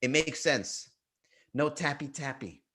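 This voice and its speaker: A man's voice: on the high side, a little slow, a bit bright, breathy edge. A man with a Miami accent hosting a comedy livestream, riffing loosely and joking with his chat. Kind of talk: casual livestream stand-up riff.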